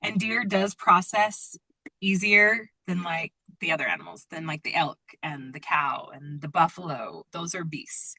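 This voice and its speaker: Female group-call teacher, slightly low pitch, bright forward tone, dry close sound, chatty and informal. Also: measured pace, breathy edge, no echo, low-quality recording. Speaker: drum-making teacher leading a group call. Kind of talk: casual conversational group call talk.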